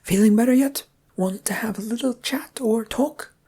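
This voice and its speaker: Sadistic male torturer for a story, played by the narrator: high, breathy, taunting, chatty, falsely courteous. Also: normal speed, mellow. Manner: taunting, chatty, mock-polite.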